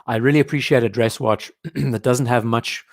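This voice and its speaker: A young man hosting a live watch show from home in casual commentary, his voice hoarse and strained, often clearing his throat. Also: mid-range voice, fairly even intonation, normal speed, dry recording.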